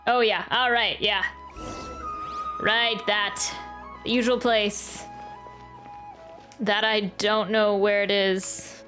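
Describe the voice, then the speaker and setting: A woman's voice: mid-range voice, little pitch movement, slow, pauses often, rough recording. A woman streamer giving casual live game commentary, chatty and musing aloud, close to the mic. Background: game music.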